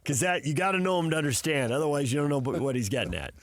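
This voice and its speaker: A man co-hosting a sports radio show, chatting casually in a playful, animated way from a studio. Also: on the high side, racing, no echo. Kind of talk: casual sports talk banter.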